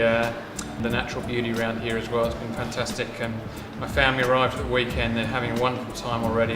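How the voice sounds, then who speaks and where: A man's voice: mid-range voice, recorded from a distance. A man with a British accent, a volunteer doctor talking in an interview. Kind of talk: interview talk. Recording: roomy, off-mic, music underneath.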